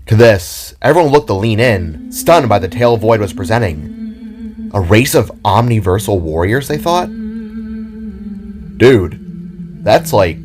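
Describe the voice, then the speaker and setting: A man's voice: lowish voice, big pitch swings, normal speed. A man reading scripted story narration as the narrator of an audio drama. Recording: background music behind the voice.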